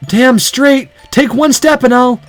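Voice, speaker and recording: A man's voice: extremely high pitch, slow, faint music behind, voice pushed up. A man voicing a threatening, exasperated gangster thug with a gun in a visual novel read-aloud. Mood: menacing.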